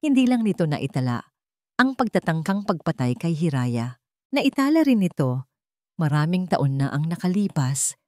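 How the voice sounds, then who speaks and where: Animated female drama narrator, deeper voice. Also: moderate pace, dry recording, clean studio sound. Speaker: story narrator.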